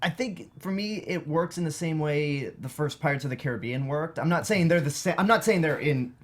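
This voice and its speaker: A man's voice: fairly high pitch, lively intonation, fairly quick. A man chatting informally as a panelist about movies. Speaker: film review panelist. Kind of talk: casual film discussion.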